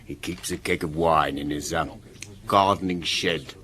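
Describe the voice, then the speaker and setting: An actor playing a gossipy, sardonic village innkeeper in a radio drama, with a dry, knowing, teasing manner. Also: male voice, rumbling low, steady tone, a little slow, fairly bright tone.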